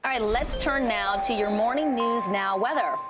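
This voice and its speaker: A woman anchoring a morning news show with bright, brisk handoffs between segments. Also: a little high, noisy background.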